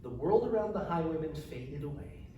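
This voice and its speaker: A man's voice: fairly high pitch, expressive, normal speed, warm tone. An older man, an author introducing and reading his own fantasy story. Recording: distant microphone, very echoey room, faint noise.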